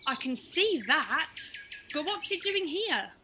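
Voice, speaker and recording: An excitable, sweet pantomime youngster, voiced by a woman, extremely bright and very high. The voice is lively. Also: slow, slight background noise, full character voice. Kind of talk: pantomime radio acting.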